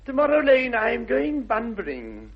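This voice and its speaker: A man acting an idle, witty young English gentleman with an upper-class accent in a 1960s radio comedy, arch and theatrical. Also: very high-pitched, huge pitch swings, normal speed, husky, heavily acted. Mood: breezy. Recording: muffled vintage radio recording.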